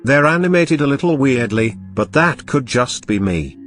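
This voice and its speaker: A man's voice: medium pitch, lively intonation, normal speed, a bit bright. A young man giving a casual game review voice-over, chatty and enthusiastic with joking asides. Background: game music playing.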